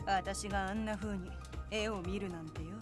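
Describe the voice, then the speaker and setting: An actress performs a hearty, rough-talking woman in a Japanese visual novel. She is blunt and confident at a medium pitch, under loud music. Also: fairly even intonation, fast talker, natural voice. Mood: proud, blunt. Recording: loud music over game dialogue.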